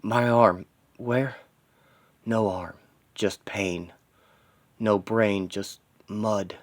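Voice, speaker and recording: Vengeful superhero, played by a male narrator: low, grieving, determined, terse monologue in a comic-book story. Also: steady tone, very slow. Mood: pained, desperate. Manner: terse, dramatic inner monologue in short fragments.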